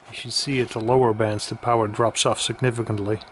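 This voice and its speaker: A man giving a casual, hesitant product demonstration with halting pauses. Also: lowish voice, flat delivery, a little hiss or hum. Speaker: radio equipment reviewer.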